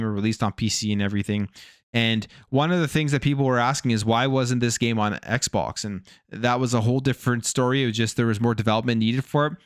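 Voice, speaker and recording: A man's voice: mid-range voice, fairly bright tone, clean tone, dry recording, studio quality. A man presenting video game news as a host, in a casual commentary style. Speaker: gaming news YouTube host.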